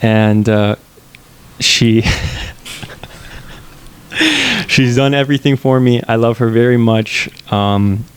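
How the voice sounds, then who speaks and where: A man's voice: mid-range voice, lively intonation, very slow, pauses often, slight background noise. A young man in his twenties hosting a casual podcast chat on a headset mic, playful and laughing often, sometimes rambling. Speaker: podcast host.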